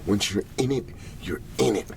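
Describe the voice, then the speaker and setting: A man playing a weary, desperate cleanup agent in an ASMR roleplay, pleading in halting, breathy whispers and murmurs. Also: lowish voice, a little hiss or hum. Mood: anxious, pleading.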